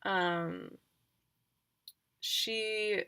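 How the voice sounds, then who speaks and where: Casual woman with gravelly vocal fry. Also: medium pitch, steady tone, sharp tone. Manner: informal, halting, thinking aloud. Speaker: game master introducing player characters. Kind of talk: casual recap of roleplaying characters on a livestream.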